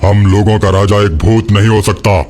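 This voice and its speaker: A man's voice: fairly low pitch, fairly even intonation, moderate pace, natural voice. A man voicing a proud, dutiful cartoon lion king with a firm, solemn manner. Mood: defiant, firm. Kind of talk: children's cartoon acting. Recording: music behind the voice.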